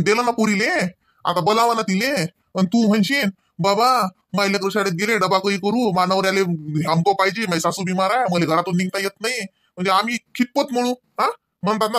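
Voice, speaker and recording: A man's voice: high voice, a bit bright, dry recording, studio quality. A man acting a stern, pleading old village father in a comedy cartoon, lecturing insistently in a rural Varhadi Marathi accent with questioning tags. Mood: firm, questioning. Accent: Varhadi Marathi, rural Vidarbha.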